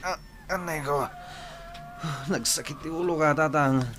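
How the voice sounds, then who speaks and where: A man's voice: a little high, unhurried, a bit bright. A man playing a sullen, hungover young husband in a radio drama, grumbling and whining, with some background noise. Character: sullen hungover young husband who drinks too much. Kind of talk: Ilocano radio drama acting.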